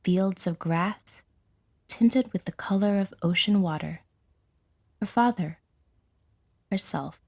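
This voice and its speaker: A woman narrating a scripted audio drama in a measured, dramatic storytelling manner with a breathy voice. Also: on the low side, darkish tone.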